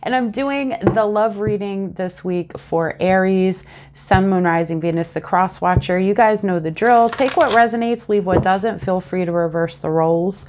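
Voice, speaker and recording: A woman's voice: mid-range voice, normal speed, few pauses, slight background noise. Congested woman chatting through a tarot reading. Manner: chatty and animated, talks with her hands. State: congested, a little sniffly. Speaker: tarot reader. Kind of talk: casual tarot reading to camera. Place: small home room, indoors. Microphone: lapel mic.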